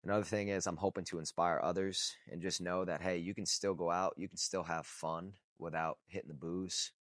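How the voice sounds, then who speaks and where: A man around thirty with a deep, low voice talks straight to camera in a blunt, casual way. He swears freely and circles back on his points for emphasis. The sound is dry and close, with no room around it. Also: fairly bright tone, dry recording. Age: 30s. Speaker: vlogger. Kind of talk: casual unscripted talk to camera.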